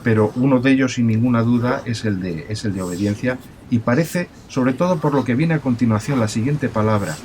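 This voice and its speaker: A man's voice: mid-range voice, brisk, hardly any pauses, darkish tone, a little hiss or hum, close to an everyday voice. A man playing an amiable professor of ancient languages, explaining in an emphatic, didactic and formal way, with many restarts, as in a recorded interview. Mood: absorbed, emphatic. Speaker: university professor of biblical languages.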